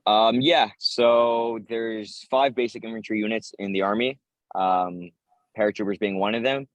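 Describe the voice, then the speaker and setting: A man's voice: on the low side, steady tone, a little slow, no room sound. A man in his twenties with an American accent, answering interview questions in casual talk as a soldier. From United States. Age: 20s. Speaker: interviewee, infantry paratrooper soldier.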